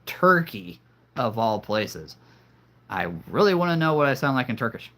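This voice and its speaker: A man's voice: medium pitch, sing-song, normal speed, pushed and bright. A man hosting a live stream, rambling casually and making cheesy jokes to his viewers. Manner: rambling, jokey, punning.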